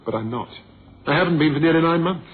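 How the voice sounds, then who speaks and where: An actor playing an urbane, mocking murderer in a suspense radio drama, with a smug, darkly amused manner. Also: male voice, fairly high pitch, quick pace, natural voice. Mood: coolly menacing. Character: urbane, mocking male murderer who feigned blindness. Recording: noisy phone-like vintage radio recording.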